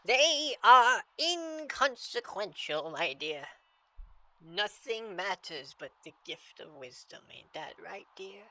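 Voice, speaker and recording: A woman's voice: medium pitch, lively intonation, sharp tone, poor audio. A woman playing a sly, sinister old antique shopkeeper with a condescending manner, in a horror audio drama. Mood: ominous, dismissive. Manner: slow, condescending endearments.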